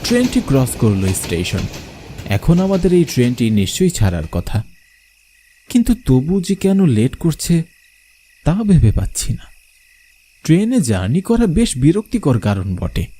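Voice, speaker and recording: A man's voice: on the high side, measured pace, pauses often, husky. A man giving a theatrical first-person narration of a horror story. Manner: expressive, theatrical. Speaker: narrator and lead character. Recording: loud train noise behind.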